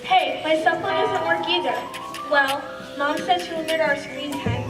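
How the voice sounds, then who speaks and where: Woman doing earnest teen girl, high voice, radio play, echoey, loud music. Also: normal speed, lightly acted. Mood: concerned, matter-of-fact. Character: earnest modern millennial teenage student. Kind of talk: amateur stage radio play. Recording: echoey stage, distant mic, loud music behind.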